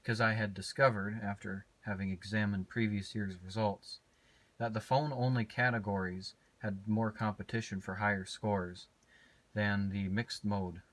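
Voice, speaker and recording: Low-pitched American man, matter-of-fact. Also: steady tone, moderate pace, a bit bright, rough recording. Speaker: amateur radio operator and vlogger. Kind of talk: ham radio contacts and casual narration.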